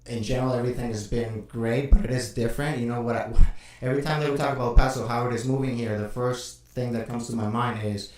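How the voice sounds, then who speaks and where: A man's voice: medium pitch, fast talker, recorded from a distance. Man talking in an echoey room. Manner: relaxed, with frequent "uh" fillers. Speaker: co-host. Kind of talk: live stream chat.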